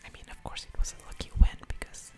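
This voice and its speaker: A woman whispering ASMR game commentary close to the microphone, thinking aloud in a breathy, unvoiced murmur with soft mouth sounds. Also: fast talker. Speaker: gaming ASMR video host.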